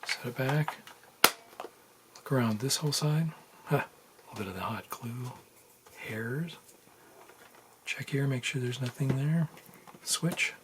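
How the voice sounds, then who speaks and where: Male hobbyist, casual bench tutorial, halting and low-key, mid-pitched, some background noise. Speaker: electronics hobbyist demonstrating a repair. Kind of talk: casual unscripted how-to narration while working. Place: indoors at a workbench. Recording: noisy room with handling clicks.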